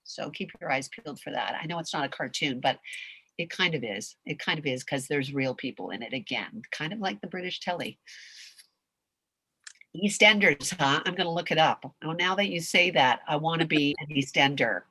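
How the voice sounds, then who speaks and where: This Canadian woman has a notably low voice and talks in an animated, rambling way, often restarting her sentences. She is a voice actress answering questions over a video call. Her audio is close and dry. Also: normal speed, husky, no room sound. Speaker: voice actress guest. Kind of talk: casual chat in a video call Q&A.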